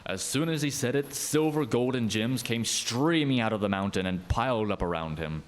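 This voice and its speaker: A man narrating a scripted radio play tale as an expressive, dramatic storyteller. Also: mid-range voice, moderate pace.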